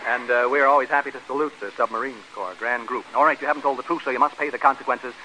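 A man's voice: mid-range voice, steady tone, rapid-fire, pushed and bright. A man hosting an old radio game show, jovial and teasing, often stammering as he banters with contestants. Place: radio show stage before an audience. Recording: noisy old radio broadcast.

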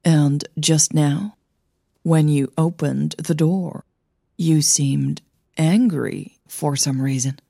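Lady playing a calm caring voice coach woman in an audio drama, kinda teasing, low n slow. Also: creaky, slightly rough, dry recording, studio quality, full character voice. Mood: caring, concerned. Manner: slow, reassuring, gently teasing. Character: Christine, composed, caring, probing female singing coach.

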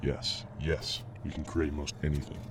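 Man acting a gravelly, very deep-voiced obsessed inventor. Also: little pitch movement, moderate pace. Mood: fervent. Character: obsessive visionary male inventor, devoted husband and father. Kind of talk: audio drama acting, partly recorded log narration. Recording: noisy amateur podcast recording.

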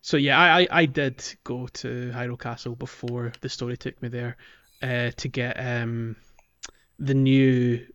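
A man's voice: mid-range voice, fairly even intonation, unhurried, fairly bright tone, clear, resonant tone, highs cut off. A man hosting a podcast, talking casually and reflectively about video games, with some hesitation as he goes. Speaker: podcast host. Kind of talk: casual video game discussion.

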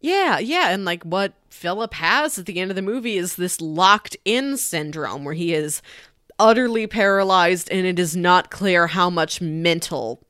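A woman with a slightly low voice shares casual opinions on a film as a podcast host. The recording is dry, with little room sound around her. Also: expressive, measured pace, a bit bright, no echo. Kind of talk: casual movie discussion.

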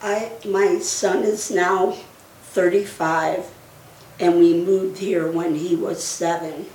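A woman's voice: fairly low pitch, steady tone, slow, darkish tone. A woman in her sixties from Connecticut, a co-host chatting casually in brief, hesitant answers. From Connecticut, United States. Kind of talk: casual chat. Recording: echoey room, distant mic.

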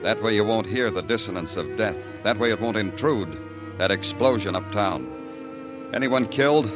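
A brooding, low-voiced city detective, played by a man, narrates an old radio drama with bitter, poetic sarcasm. Music plays clearly behind him on the vintage recording. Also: animated, normal speed, close to an everyday voice. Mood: brooding, sardonic. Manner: sardonic, poetic second-person delivery.

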